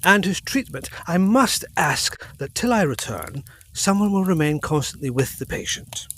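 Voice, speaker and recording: A man's voice: on the high side, very animated, normal speed, fairly bright tone. A man playing an earnest, authoritative Edwardian physician in a gothic audio drama, with formal, emphatic diction. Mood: grave, assured.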